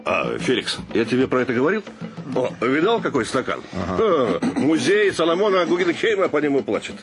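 An actor playing a brash, wisecracking bohemian photographer in a radio play, bantering and persuasive. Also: male voice, medium pitch, wildly swooping pitch, brisk, over music. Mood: scheming.

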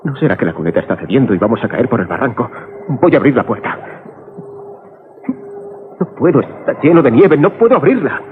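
An actor with a Castilian Spanish accent playing an anxious, awestruck father in a dramatic, excitable 1980s radio drama style. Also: medium pitch, fairly quick, long pauses, lightly acted. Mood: alarmed. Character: anxious, awestruck father stranded with his family. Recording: tinny, noisy 1980s radio recording.

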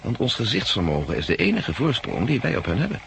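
An actor in a 1960s radio drama playing a shrewd, brooding farm worker who speaks gravely and deliberately. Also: male voice, medium pitch, wildly swooping pitch, a bit bright, full character voice. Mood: grim, musing. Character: brooding male plant-farm worker, shrewd, grimly warning. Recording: old radio recording, faint noise.

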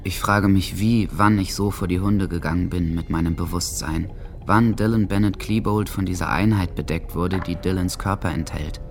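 A man's voice: sits low, steady tone, moderate pace, faint music behind. An actor playing an alienated, hopeless teenage boy in an introspective, melancholy radio drama monologue. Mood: bleak, brooding. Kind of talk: German radio docudrama acting, diary monologue.

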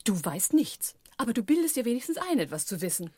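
A woman's voice: a little high, big pitch swings, fast, darkish tone, slightly rough, no echo. An actress playing an exasperated, sardonic woman on the run in a radio drama.

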